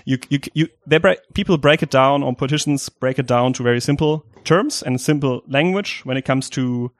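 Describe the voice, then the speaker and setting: Halting man host, dry sound. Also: mid-range voice, no echo. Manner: halting, many restarts. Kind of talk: casual discussion.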